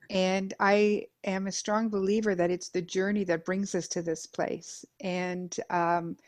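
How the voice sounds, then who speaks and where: A middle-aged woman from Denmark talks earnestly as an interview guest, her voice sitting a bit low. She builds long lists of repeated phrases, with pauses and fillers along the way. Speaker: guest, Reiki master and life coach. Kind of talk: reflective personal interview.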